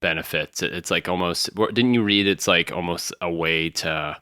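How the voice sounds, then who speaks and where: Very deep, bright-toned playful podcast co-host. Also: moderate pace, clean tone, no room sound, clean studio sound. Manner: playful, joking banter, laughs often. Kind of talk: casual chat.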